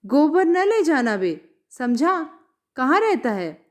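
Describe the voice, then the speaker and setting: A woman's voice: sits high, big pitch swings, slow pace, full character voice. A woman storyteller voicing suspicious, scheming male temple devotees in a brusque, sneering manner.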